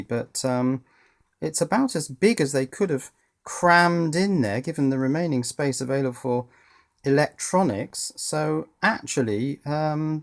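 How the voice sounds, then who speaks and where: A man's voice: a little high, creaky. A man giving unscripted teardown commentary as a hobbyist presenter, thinking aloud with dry, understated humour.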